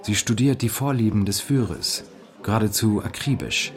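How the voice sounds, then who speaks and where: A man's voice: lowish voice, normal speed, soft background music. A man reading scripted documentary narration.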